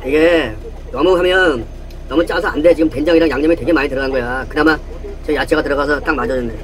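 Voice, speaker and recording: A man's voice: on the high side, quick pace, a bit bright, a little hiss or hum. A man in his sixties chatting casually and joking, with frequent exclamations, inside a camper van. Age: 60s. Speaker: travel companion. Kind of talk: casual chat.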